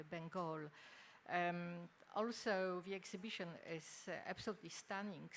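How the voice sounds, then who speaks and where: Lower-voiced older Polish-accented woman, noisy recording. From Poland. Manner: halting, hesitant phrasing, formal. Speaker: academic speaker, textile researcher. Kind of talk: conference lecture. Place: indoor symposium venue. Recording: noisy live symposium room recording.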